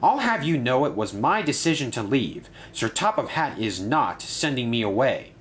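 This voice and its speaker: A man voicing a proud, determined male steam engine who speaks firmly and briskly. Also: medium pitch, very animated, a bit bright, pitched above the performer's own voice. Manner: firm, brisk, decided.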